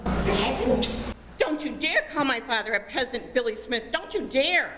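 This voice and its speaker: Woman acting shrill, feisty teenage girl. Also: animated, moderate pace, a bit bright. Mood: indignant. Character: feisty, dramatic teenage daughter. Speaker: amateur radio play actor. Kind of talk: old-time radio comedy acting. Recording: echoey hall, faint noise.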